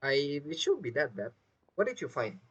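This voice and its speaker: A woman's voice: sits low, big pitch swings, moderate pace, slightly rough, highs cut off. A woman giving casual let's-play commentary, musing with offhand reactions and guesses. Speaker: gamer commenting on a playthrough.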